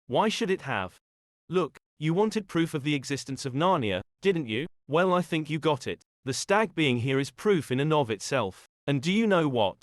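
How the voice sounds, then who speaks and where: A man's voice: on the high side, expressive, normal speed, no echo, studio quality, natural voice. A man playing a reasonable, supportive husband who argues persuasively in an audio drama. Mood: reasoning, insistent.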